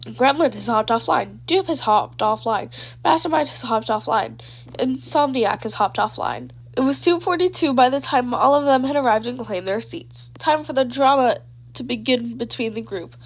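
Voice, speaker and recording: A woman with a high voice narrates a piece of fan fiction. She reads from a script as a storyteller would, telling the tale line by line. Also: moderate pace, breathy edge. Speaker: podfic reader.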